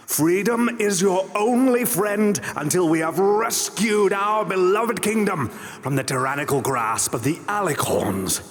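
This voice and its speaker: A man playing a scheming revolutionary pony leader giving a grand, oratorical rallying speech in a fan radio drama. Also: medium pitch. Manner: grand, oratorical, building to a shout. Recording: long hall reverb.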